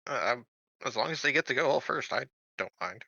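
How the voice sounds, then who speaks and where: Casual male gamer, very sharp bright voice with lively pitch, dry recording. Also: fairly quick, vocal fry, dry recording, lo-fi sound. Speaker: player in a tabletop game. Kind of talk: casual game table chat.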